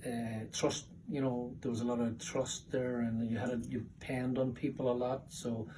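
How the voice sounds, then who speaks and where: A middle-aged man with a Northern Irish accent reminisces casually in a family interview, speaking haltingly and repeating words. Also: mid-range voice, little pitch movement. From Northern Ireland. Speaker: interviewee, father. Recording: distant mic with background room noise.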